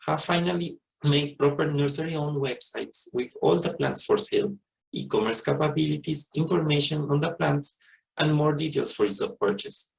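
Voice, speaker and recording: A man's voice: a little high, normal speed, gravelly, highs cut off. A man in his thirties with a Costa Rican accent, a native Spanish speaker, giving a prepared personal narration as a plant nursery owner. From Costa Rica.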